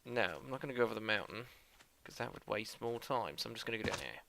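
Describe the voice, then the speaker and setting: A man's voice: on the low side, lively intonation, quick pace, gravelly, pushed and bright, a little hiss or hum, heavily acted. A man putting on a jokey mock-Australian drawl. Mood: playful. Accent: put-on Australian, based on a New Zealand acquaintance. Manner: playful, self-mocking, rambling. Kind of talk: let's-play commentary.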